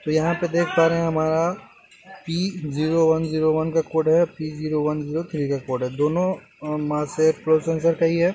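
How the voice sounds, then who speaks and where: Young man, mechanic, step-by-step repair explanation, slightly high voice, outdoor phone recording, faint vehicle noise. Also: completely flat delivery, moderate pace, mellow. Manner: explains step by step while working. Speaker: car mechanic. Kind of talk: hands-on repair tutorial. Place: inside a car and at the open engine bay, outdoors.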